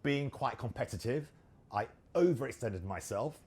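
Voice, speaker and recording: A man's voice: medium pitch, animated, normal speed, darkish tone, slightly rough. A man in his fifties, co-hosting a casual chat and telling stories against himself. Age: 50s. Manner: self-deprecating storyteller. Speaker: co-host.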